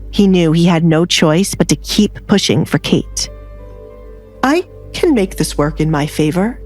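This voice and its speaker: A woman's voice: lowish voice, a little mellow. A woman reading scripted story narration as an audiobook narrator.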